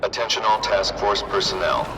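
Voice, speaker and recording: A man's voice: on the low side, flat delivery, moderate pace, heavy background noise, close to an everyday voice. A man playing an authoritative task force dispatcher in a video game, making a clipped official announcement. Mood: urgent command. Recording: distant voice under very loud music.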